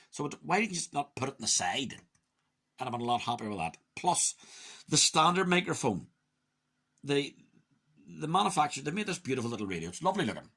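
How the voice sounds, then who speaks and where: A man giving a casual, chatty, opinionated hands-on radio hobby review, muttering to himself at times, in a husky voice. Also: medium pitch, bright, forceful tone. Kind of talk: casual hands-on product demonstration.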